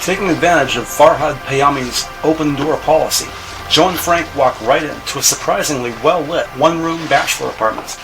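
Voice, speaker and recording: A man's voice: a little high, a little fast, music in the background. A man reading scripted narration for a crime audio drama. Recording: noisy ambient sound bed.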